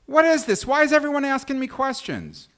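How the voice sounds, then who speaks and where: A man's voice: very high-pitched, sing-song, brisk, a bit bright, heavily acted. A man playing a defensive, exasperated woman in a live sitcom table read.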